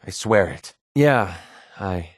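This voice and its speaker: An actor voicing a wry, prickly young mercenary sniper with a hidden soft side in a video game, sarcastic and conversational. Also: male voice, sits low, takes it slowly, no echo, clean studio sound, full character voice. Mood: subdued, sincere. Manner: sarcastic, conversational, emotionally expressive. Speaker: video game companion character. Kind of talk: naturalistic video game voice acting.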